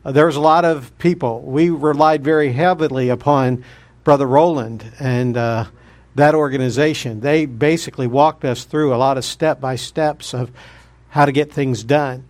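A man's voice: medium pitch, steady tone, moderate pace, a little mellow. A man, a guest preacher opening his sermon in a jokey, folksy manner.

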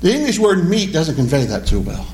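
Animated teacher, man. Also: fairly high pitch, brisk, slight background noise. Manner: animated, asks the class questions and repeats words. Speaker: Bible teacher. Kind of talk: Bible study lesson. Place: classroom or church hall with a small group.

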